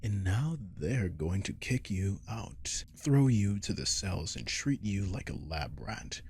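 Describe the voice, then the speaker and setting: A man playing a captive werewolf in an ASMR roleplay, seductive, menacing and possessive, speaking in a slow, coaxing, teasing murmur close to the mic. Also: lowish voice, animated, gravelly, a little mellow, a little hiss or hum, exaggerated voice. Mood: menacing, persuasive. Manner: slow, coaxing, teasing, often dropping to whisper. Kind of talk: ASMR audio roleplay acting. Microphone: close microphone.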